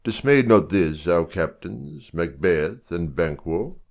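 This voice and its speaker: A man playing a stately, commanding Scottish king in measured style for a Shakespeare audiobook drama. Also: low-pitched, animated, gravelly, exaggerated voice. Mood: regal, commanding.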